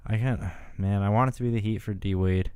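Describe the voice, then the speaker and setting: A man's voice: fairly low pitch, fairly even intonation, fast talker, a little mellow, clear, resonant tone, dry recording. A young man co-hosting a sports podcast, blunt and opinionated in casual chat. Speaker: podcast co-host.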